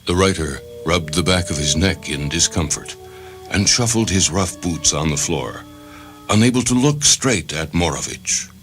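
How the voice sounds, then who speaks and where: Low-voiced male story narrator. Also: expressive, normal speed, a bit bright. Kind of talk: scripted literary narration. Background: faint background music.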